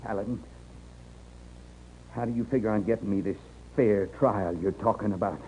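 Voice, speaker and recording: A man playing a desperate cornered westerner accused of horse stealing, with a rough rustic drawl, in a 1950s radio western. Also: on the low side, moderate pace, dark tone, close to an everyday voice. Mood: bitter, resigned. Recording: noisy muffled 1950s radio recording.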